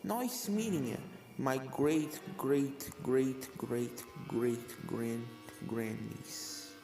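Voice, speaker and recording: A woman's voice: very deep voice, drawn-out words, dark tone, voice pushed down, heavily acted. A woman voicing a kindly, very old ghost pony doctor with a Manhattan accent, drawn out and genteel, in long reverb. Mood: amused, welcoming. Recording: echoing reverb with music behind.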